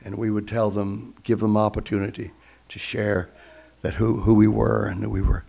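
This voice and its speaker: Gravelly-voiced man telling a sermon story. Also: fairly low pitch, moderate pace. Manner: animated storyteller, acting out quoted voices. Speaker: guest speaker.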